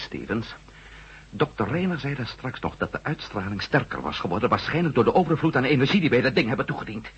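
An actor playing a headstrong, indignant reporter and former astronaut in a radio drama, emphatic and sardonic, with a husky voice. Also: male voice, medium pitch, fairly bright tone, a little hiss or hum. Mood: urgent warning. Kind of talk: Dutch radio drama acting. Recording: dull, lo-fi old radio recording.

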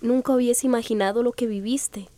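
A woman's voice: a little high, steady tone, a little fast, dark tone, a little hiss or hum, close to an everyday voice. An actress playing a young, sympathetic and anxious female friend in a radio drama. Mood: tender. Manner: concerned, questioning.

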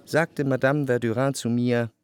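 An actor playing a reflective, wryly observant narrator in a radio play, articulate and measured in his literary storytelling. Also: mid-range voice, steady tone, clear, resonant tone, natural voice.

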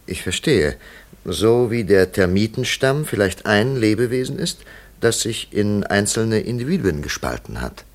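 A man's voice: fairly low pitch, very animated, measured pace. An actor playing an earnest, skeptical, rational man in a radio play, formal and probing. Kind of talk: German radio play acting.

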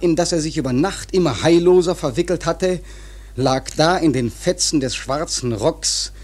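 An actor playing a grave seafaring storyteller, narrating a radio drama in a husky, measured, literary way. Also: male voice, on the high side. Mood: intense, awed. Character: grave male seafaring storyteller recounting a whale hunt.

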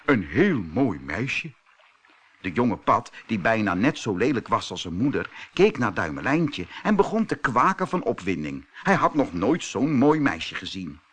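A man reading a children's fairy tale as a storyteller, expressive and deliberate in his delivery. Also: fairly low pitch, slightly rough. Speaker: storyteller, audiobook reader.

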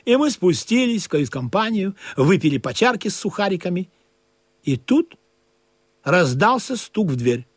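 A man playing a droll sailor who narrates a comic sea tale in an expressive, theatrical, husky voice. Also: high voice, moderate pace, exaggerated voice. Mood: wry storytelling. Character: droll male sailor narrator, excitable and fawning among crew.

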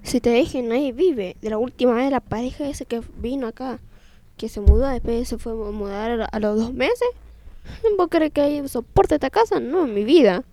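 A woman's voice: on the high side, moderate pace, warm tone, no room sound, close to an everyday voice. A woman improvising a radio play as a jumpy female burglar's accomplice in a haunted house, on an amateur recording. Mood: skeptical, wary. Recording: amateur recording with faint noise and clicks.

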